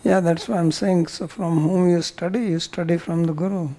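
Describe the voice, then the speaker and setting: A man's voice: high-pitched, fairly even intonation, normal speed, dark tone. An older man with an Indian accent answers students as a spiritual teacher, bluntly and often turning questions back on them.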